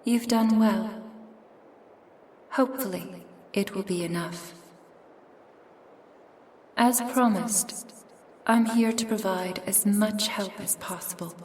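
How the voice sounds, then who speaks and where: A woman's voice: mid-range voice, slow, pauses often, a little mellow, long reverb tail, far from the mic, slight background noise, natural voice. A woman playing a cold, commanding otherworldly schemer in a roleplay ASMR, menacing, imperious and formal. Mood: cold, ominous.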